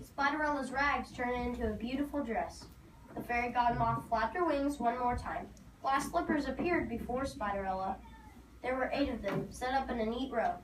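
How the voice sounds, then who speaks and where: A woman narrating a scripted storybook tale for a readers theater performance. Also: a little high. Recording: noisy, echoey hall from far off.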